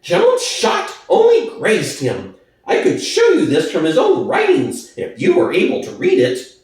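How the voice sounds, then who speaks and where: A man voicing a sly, excitable propagandist pig in a storybook reading, with theatrical, insistent oratory. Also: very high-pitched, unhurried, full character voice. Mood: excited, urgent. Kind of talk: dramatic audiobook reading. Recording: echoey room, distant from mic.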